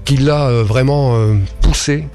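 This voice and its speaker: A radio guest with a gravelly voice tells a long story, stopping often to search for words. He repeats himself and restarts his sentences. Faint music plays behind him. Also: medium pitch, measured pace, darkish tone. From France. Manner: hesitant, many fillers and restarts. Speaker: guest speaker on spiritualism. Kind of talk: radio talk explaining history.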